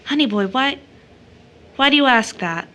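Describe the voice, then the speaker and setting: A woman's voice: sits high, animated, moderate pace, pushed and bright. A woman playing a tender but troubled child-loving young woman in an audio drama, earnest and theatrical. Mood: troubled, soothing. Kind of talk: audio drama stage-style acting.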